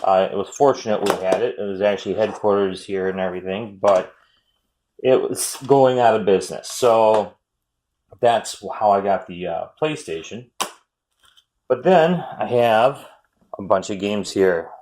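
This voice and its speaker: A man's voice: measured pace, a little mellow. Chatty man rambling about games. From Wisconsin, US. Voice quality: fairly high voice for a man. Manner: chatty, meandering, frequent ums and self-corrections. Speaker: retro game collector vlogger. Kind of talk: casual rambling haul talk.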